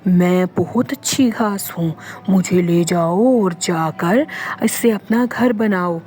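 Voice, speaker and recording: A kind magical patch of grass speaks slowly in a low, gentle voice, put on by a woman. It sounds calm and generous, a soft fairy-tale helper in a children's cartoon. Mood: inviting.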